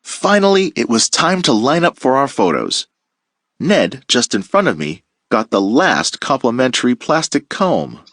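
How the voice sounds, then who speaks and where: A man teacher reading a children's picture book aloud with playful, expressive storytelling. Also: mid-range voice, measured pace, dry recording, studio quality.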